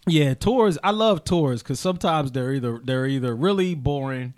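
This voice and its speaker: A man's voice: mid-range voice, moderate pace. A young man hosting a casual chat, telling stories and acting out the people in them with different voices. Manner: animated, acts out quotes in different voices. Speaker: podcast host.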